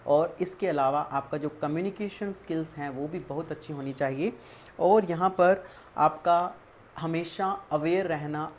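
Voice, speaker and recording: Low-voiced Indian woman explaining, noisy background. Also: darkish tone. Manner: methodical, point-by-point explaining with English terms mixed in. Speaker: career guidance video presenter. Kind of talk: explanatory tutorial presentation. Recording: clearly audible background ambient noise.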